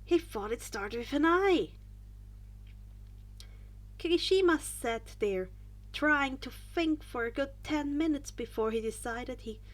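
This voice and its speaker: A woman with a fairly high voice reads a story aloud. She sounds like an amateur audiobook narrator working from a script, now and then stumbling and picking a phrase up again. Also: fairly bright tone. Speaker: fan fiction audiobook narrator.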